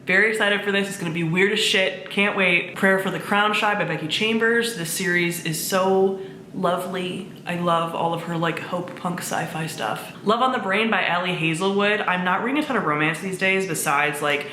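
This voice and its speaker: A woman with a bright, forward voice chats informally in the manner of a vlogger. She sounds enthusiastic and conversational, with a mid-range pitch. The recording has a roomy echo and faint background noise. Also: moderate pace. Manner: enthusiastic, informal with swearing and frequent "like". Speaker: book vlogger, presenter of own channel. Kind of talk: casual chatty vlog talk to camera.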